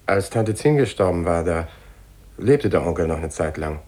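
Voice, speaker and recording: Deep-voiced, uneasy male cousin. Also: expressive, moderate pace, darkish tone. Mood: uneasy, grave. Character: uneasy male cousin telling an eerie family tale. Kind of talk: German radio play acting.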